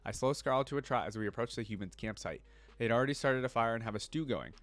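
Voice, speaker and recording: A man's voice: mid-range voice, lively intonation, fast talker, a bit bright, dry recording. Man reading aloud and reacting, dry sound. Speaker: reaction video host. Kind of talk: story read-aloud with casual reaction commentary.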